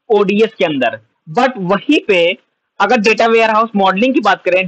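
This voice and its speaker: A man with a fairly high voice lectures over a screen recording, putting a question and then answering it himself as he goes. His voice is close and dry, with a slightly dull, muffled sound. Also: a bit bright, no room sound. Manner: explains step by step, asks and answers own questions. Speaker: computer science teacher. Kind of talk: whiteboard lecture.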